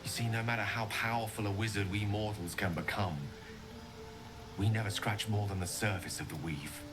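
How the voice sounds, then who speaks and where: An actor playing an eloquent, wistful wizard in a video game, a man speaking in measured, confessional monologue. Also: fairly low pitch, fairly even intonation, natural voice. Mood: yearning, reflective. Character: eloquent, wistful male wizard, once a prodigy. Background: music.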